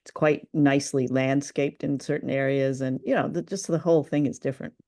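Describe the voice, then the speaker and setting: A woman's voice: very deep voice, steady tone, a little fast, dark tone. A woman co-hosting a casual review show, talking with gushing enthusiasm about what she has heard. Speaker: podcast co-host reviewing old radio plays.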